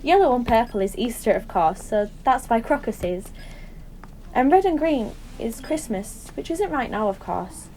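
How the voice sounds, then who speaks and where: An actress playing an intense, excitable woman who rambles earnestly and seeks agreement in an audio drama. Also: on the high side, moderate pace, natural voice, poor audio. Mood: musing, rambling. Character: intense, excitable woman musing in tangled associations. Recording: faint background noise.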